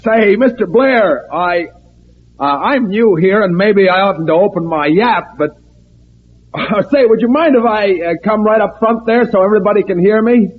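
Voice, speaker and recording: An actor playing a folksy, pushy newcomer who speaks haltingly and insistently in an old radio drama. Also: male voice, sits high, a little hiss or hum, lightly acted. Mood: folksy, hesitant. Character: folksy, pushy newcomer man stirring up alarm at meeting. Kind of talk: 1940s-50s radio drama acting. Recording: tinny, band-limited old radio recording.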